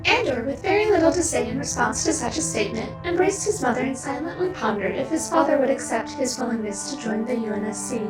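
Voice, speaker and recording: A woman's voice: high-pitched, animated, fast talker, few pauses. A woman giving scripted story narration for an audio drama. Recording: echoey, distant-sounding voice. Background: music.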